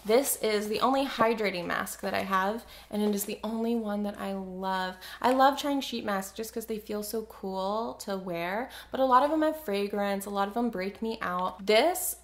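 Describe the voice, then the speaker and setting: A woman's voice: medium pitch, normal speed, hardly any pauses. A young adult woman talking casually to camera, reviewing her skincare favorites with a chatty, enthusiastic manner full of filler words. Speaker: beauty vlogger.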